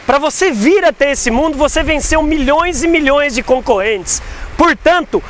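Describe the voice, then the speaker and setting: High-voiced Brazilian man, emphatic motivational talk. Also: animated, normal speed, a bit bright, slight background noise. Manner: emphatic, persuasive, rhetorical questions. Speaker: sales speaker and host. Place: outdoors on an overpass above a busy road. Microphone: lapel mic.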